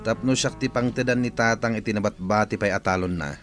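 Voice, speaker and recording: An actor playing a brooding, covetous husband in a radio drama, musing and grumbling. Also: male voice, lowish voice, fairly quick, over music, lightly acted.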